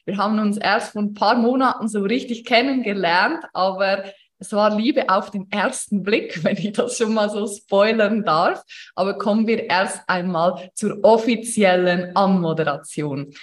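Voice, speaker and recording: Woman hosting an interview podcast. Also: mid-range voice.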